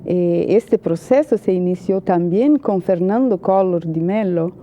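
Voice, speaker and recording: A woman's voice: lowish voice, measured pace, mellow, a little hiss or hum. A Brazilian woman with a Brazilian Portuguese accent, a journalist and political analyst, answering interview questions in hesitant Spanish full of "eh" fillers.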